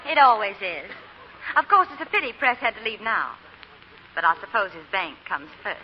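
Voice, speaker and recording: Actress doing worldly southern lady, medium pitch, measured, old radio play w some hiss. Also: sing-song, close to an everyday voice. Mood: knowing. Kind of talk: 1940s radio drama acting. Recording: muffled, noisy 1940s radio recording.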